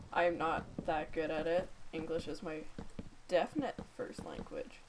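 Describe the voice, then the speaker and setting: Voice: mid-range voice, background noise. A gamer chatting casually and rambling while playing, talking to themselves and to others on a call. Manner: rambling, chatty self-talk with quick asides to a call. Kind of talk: casual gameplay chat.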